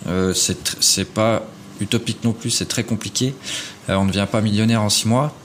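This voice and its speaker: A man speaking as a podcast guest in an apartment, earnestly handing out advice. His voice is low-pitched and broken up by frequent fillers. A faint hiss sits behind him. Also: fairly even intonation, brisk. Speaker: guest, property investor. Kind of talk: casual interview chat. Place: apartment rental abroad.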